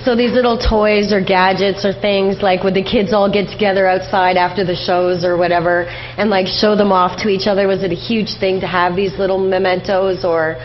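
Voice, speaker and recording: A woman's voice: medium pitch, little pitch movement, moderate pace, few pauses. Woman radio host, informal, slightly muffled sound. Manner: wordy, informal questions padded with "like" and "you know". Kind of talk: casual conversational interviewing. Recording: dull, lo-fi radio interview with noise.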